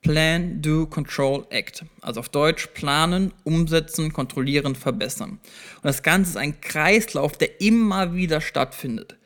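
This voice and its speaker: A man's voice: on the high side, measured pace, fairly bright tone. A man hosting a solo self-improvement podcast, talking directly to the listener and explaining step by step, conversational with small restarts. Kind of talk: solo motivational podcast talk.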